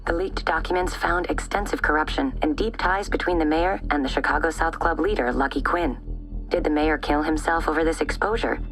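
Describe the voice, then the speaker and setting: Game newsreader: serious, formal, measured, lowish voice over music. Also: female voice, a bit bright, poor audio. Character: serious female television news anchor. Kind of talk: scripted news bulletin.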